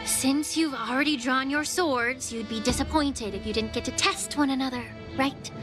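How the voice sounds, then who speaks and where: A woman playing a gracious, composed young woman in an anime video game, polite and measured as she gently steers. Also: sits high, music in the background, close to an everyday voice. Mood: gently diplomatic.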